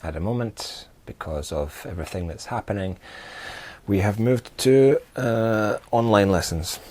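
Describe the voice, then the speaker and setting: A man from Scotland teaching an informal English lesson, speaking haltingly and giving simple example phrases. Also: lowish voice, darkish tone. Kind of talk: informal language lesson to camera.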